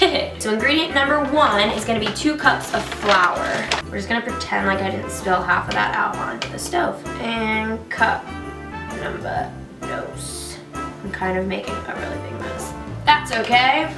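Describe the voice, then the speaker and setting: A woman's voice: a little high, normal speed. A young woman with a stuffed-up, nasal voice, joking her way through a casual kitchen tutorial. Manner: bubbly and jokey. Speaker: vlogger. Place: home kitchen, loud stove. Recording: roomy, mic at a distance, music behind.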